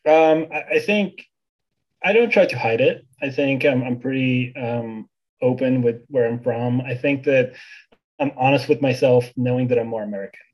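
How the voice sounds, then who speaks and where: A young man in his twenties who grew up in Bulgaria, talking as a podcast guest. His voice sits at an ordinary middle pitch, loose and conversational, full of fillers and restarts. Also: normal speed, lo-fi sound. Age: 20s. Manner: relaxed, many fillers and restarts. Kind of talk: casual interview chat.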